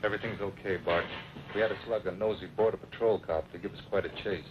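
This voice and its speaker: A man's voice: lowish voice, fairly even intonation, fast, lightly acted. An actor playing a callous smuggler's henchman in an old radio drama, talking in a casual, slangy way. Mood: callous, reporting. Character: callous gruff smuggler henchman and truck driver. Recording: muffled, noisy old radio recording.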